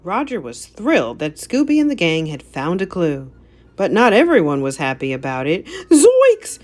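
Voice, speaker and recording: Lively, lowish-voiced woman reading a story. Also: normal speed. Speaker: children's story narrator. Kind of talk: animated storybook read-aloud.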